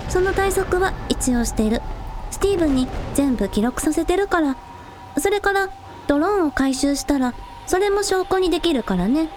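Woman as a high-voiced, spirited young idol. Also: expressive, moderate pace, darkish tone, soft background music. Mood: thoughtful. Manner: girlish, informal. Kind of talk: radio drama acting.

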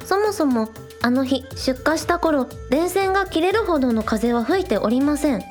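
女性が演じる高く抑揚豊かな元気な巫女アイドル. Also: female voice, high-pitched, animated, normal speed, faint music behind. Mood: earnest. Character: spirited, earnest young shrine maiden, village idol. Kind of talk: radio drama dialogue.

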